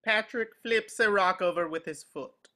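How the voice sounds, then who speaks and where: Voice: mid-range voice, normal speed, bright, forceful tone. A narrator reading scripted stage directions at a table reading.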